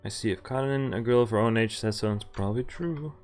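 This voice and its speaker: A man's voice: medium pitch, fast talker, a little mellow. Man reading a game aloud over music. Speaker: game streamer reading a visual novel aloud. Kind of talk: casual livestream read-aloud with side comments.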